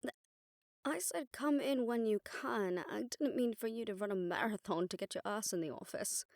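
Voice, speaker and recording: A woman playing an exasperated, sharp-tongued witch detective in an audio roleplay, talking casually and wryly to a partner. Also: fairly high pitch, creaky, dry recording, clean studio sound. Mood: exasperated, scolding. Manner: casual, wry, mildly swearing, explanatory. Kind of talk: conversational audio roleplay acting.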